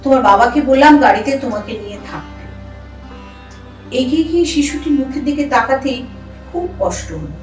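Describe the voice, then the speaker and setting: Distant and echoey over music, a high-pitched actress as a brisk, protective elder sister speaks with feeling. She is secretive and troubled, in the manner of radio drama. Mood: grave, sorrowful. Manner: emotional, expressive delivery. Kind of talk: Bengali audio drama acting. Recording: echoey room, distant mic, music bed.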